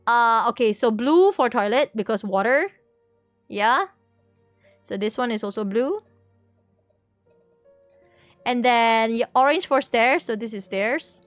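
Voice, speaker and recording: Woman livestream host, higher-pitched voice, casual and rambling with playful self-corrections, dry close sound over faint music. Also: expressive, slow, long pauses, dry recording. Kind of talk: casual livestream chat, explaining a sketch to viewers.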